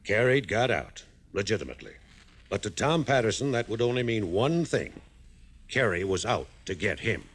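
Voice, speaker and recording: A man's voice: fairly low pitch, big pitch swings, moderate pace, a little hiss or hum. An actor playing an earnest, worried American man briefing an investigator in a 1950s radio drama, telling his account insistently and emphatically. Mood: grave, insistent.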